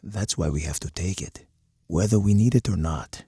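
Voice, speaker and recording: Hawkish game colonel: low, gravel-throated and husky, stern, measured, uncompromising strategist. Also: male voice, warm tone, dry recording, studio quality, heavily acted. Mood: menacing, calculating. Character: uncompromising hawkish male army colonel, grim military strategist. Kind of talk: scripted game voice lines.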